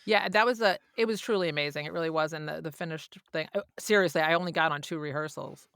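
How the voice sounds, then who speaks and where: A woman's voice: sits low, fast, gravelly, a bit bright, clean tone, no room sound, studio quality. An American woman from New York chatting casually as a podcast host, with an effusive, gushing manner. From New York, United States. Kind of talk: casual chat.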